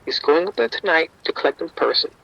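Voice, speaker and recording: A man's voice: a little high, animated, quick pace, gravelly, slightly rough, highs cut off, heavily acted. An actor playing a streetwise male bookie informant, wry and defensive, talking around the point in an audio drama.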